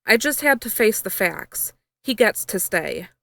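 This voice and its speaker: A woman's voice: medium pitch, lively intonation, a little slow, gravelly, fairly bright tone, no room sound. A woman reading the storybook dialogue of an anxious young girl who is eager to please. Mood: worried. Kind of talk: storybook dialogue in fan fiction.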